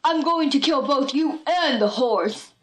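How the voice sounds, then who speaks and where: A brutish male pirate bully from a children's storybook, acted out by a woman narrator. He is greedy and menacing, and he barks his threats and demands in a raised, forceful shout. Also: sits high, animated, unhurried. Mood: menacing, angry.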